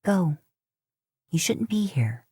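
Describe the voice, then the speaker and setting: Lady reading a determined young tribeswoman, firm and measured, low voice. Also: sing-song, mellow, slightly rough, dry recording, studio quality, heavily acted.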